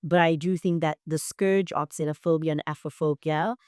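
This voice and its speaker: A woman's voice: low-pitched, fairly even intonation, normal speed, a little mellow, no echo, clean studio sound. A young adult woman, a guest in an interview, talking thoughtfully and often checking in with "right?". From South Africa. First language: Shona. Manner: thoughtful, frequent "right?" tags. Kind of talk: reflective interview talk.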